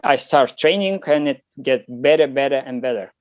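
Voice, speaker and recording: A Lithuanian man speaking English with a Lithuanian accent as an interview guest, answering in a halting way with many fillers and restarts. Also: medium pitch, no room sound, dull audio. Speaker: competitive shooter guest.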